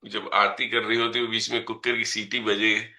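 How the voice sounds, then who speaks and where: A man's voice: on the low side, flat delivery, moderate pace, pushed and bright, reverberant. A man reciting his own poem at an online poetry gathering, saying each couplet twice and adding short spoken explanations between verses. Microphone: video call.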